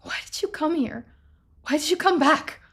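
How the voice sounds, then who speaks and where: A woman's voice: sits high, normal speed, fairly bright tone, voice pushed up. A woman voicing a tense, bewildered female small-town doctor in a story game, urgent and disbelieving. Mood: distraught.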